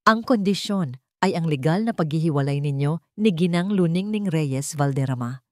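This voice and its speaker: A woman voicing an old male lawyer who speaks calmly and formally, in a storybook reading. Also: low-pitched, no room sound, studio quality. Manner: calm, measured.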